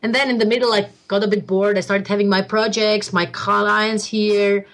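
A woman's voice: medium pitch, little pitch movement, normal speed. A woman from Albania, a guest in a casual interview, who laughs a lot and often restarts her sentences.

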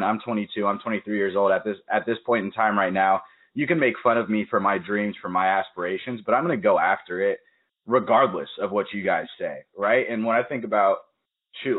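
Low-voiced young man on a tinny call. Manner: energetic, storytelling with quoted asides. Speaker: podcast host. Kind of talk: casual interview chat. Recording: tinny phone-like call audio.

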